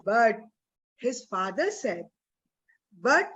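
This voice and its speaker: High-voiced woman coaching readers, muffled. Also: very animated, very slow, a bit bright, husky. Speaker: teacher directing a read-aloud. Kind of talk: informal coaching.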